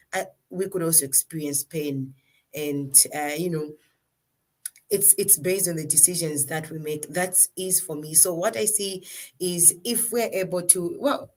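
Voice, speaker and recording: Woman, Zambian English accent, radio call-in host, lowish voice, thinks aloud. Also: a little mellow. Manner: measured, takes callers, thinks aloud.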